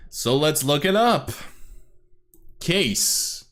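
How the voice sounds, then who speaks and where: A man's voice: a little high, sing-song, extremely slow, a bit bright. A young man from Serbia, rambling through casual reaction commentary as a streamer, swearing often and correcting himself.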